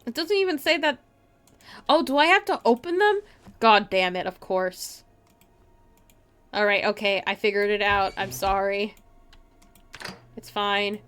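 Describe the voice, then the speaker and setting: A woman's voice: medium pitch, a little slow, pauses often, a bit bright, clear, resonant tone. A woman streaming a video game live with casual, chatty commentary, often muttering to herself and to game characters.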